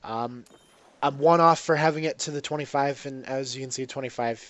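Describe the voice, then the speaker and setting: A man's voice: mid-range voice, fairly quick, fairly bright tone. A man with a congested voice from a cold, casually commenting on his own gameplay with lots of ums and restarts. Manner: rambling, self-correcting, frequent ums.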